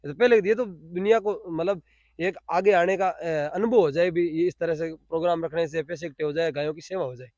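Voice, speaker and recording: A man with a Rajasthani accent speaks in a high voice with very little echo around it. He explains himself off the cuff as an interviewee, often restating a phrase to press the point. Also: fairly even intonation, a little fast, a little mellow, lo-fi sound. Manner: repeats phrases for emphasis. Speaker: interviewee, cow shelter volunteer. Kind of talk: spontaneous explanatory interview answers.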